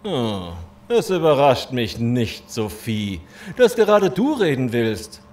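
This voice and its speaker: An actor playing a smug, genteel, menacing gang boss in an audio drama, pompous and mockingly polite. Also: male voice, medium pitch, very animated, slow, darkish tone. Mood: smooth menace. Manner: mock-polite, pompous, taunting. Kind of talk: German audio drama acting.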